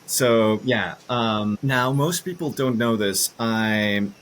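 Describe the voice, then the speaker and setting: Middle-aged male podcast guest, Costa Rican-raised, fairly deep register, sincere, thinking out loud. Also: takes it slowly. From Costa Rica. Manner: earnest, thinks aloud with repeated words. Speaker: podcast guest, engineering professor. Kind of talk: reflective conversational interview.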